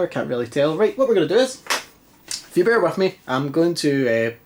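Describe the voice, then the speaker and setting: A Scottish man with a stuffy, congested voice, chatting casually and mocking himself while testing an old console in a dim living room. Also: a little high. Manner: chatty, self-mocking, rambling. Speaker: retro game vlogger. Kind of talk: casual chat.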